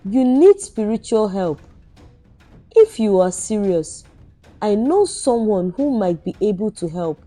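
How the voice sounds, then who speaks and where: A horrified young man in a storybook tale, acted out by a woman narrator. The speech is blunt and accusing yet mellow in tone. It moves slowly, with music underneath. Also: medium pitch, sing-song. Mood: grave. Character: horrified, accusing young male employee of a barber.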